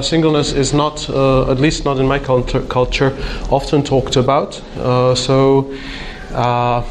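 A man's voice: mid-range voice, slight background noise. A man from Slovakia, giving a conference talk with frequent hesitations and light self-deprecating humor. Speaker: conference speaker.

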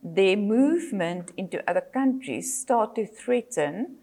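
An older South African woman, a native Afrikaans speaker, giving a measured lecture as a psychologist, with frequent fillers and self-corrections. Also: medium pitch, expressive, dark tone. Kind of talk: presentation lecture.

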